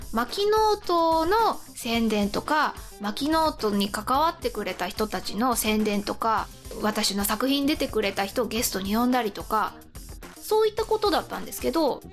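A woman hosting a casual radio chat, often laughing as she talks. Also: fairly high pitch. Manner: giggly, often laughing while talking. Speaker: radio show host.

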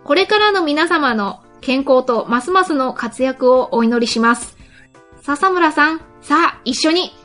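High-pitched, animated woman hosting radio. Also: unhurried. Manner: laughs often while talking. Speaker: radio show host. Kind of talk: lively chat and reading listener mail on an internet radio show. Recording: music under the voice. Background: background music.

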